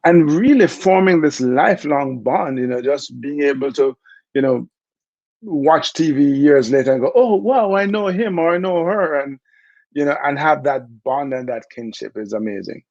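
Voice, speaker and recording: Man, Jamaican accent, middle-aged, gravelly, relaxed and chatty, dry dull sound. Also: fairly high pitch, normal speed, no room sound. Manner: relaxed, chatty, frequent fillers and laughs. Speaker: interview guest, former Olympic bobsledder. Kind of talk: casual storytelling interview.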